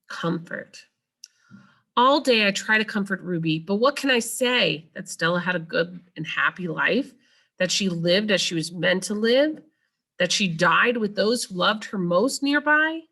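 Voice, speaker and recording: A woman's voice: on the low side, creaky, pushed and bright, husky. A woman reading a children's novel aloud as a storyteller. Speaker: read-aloud reader.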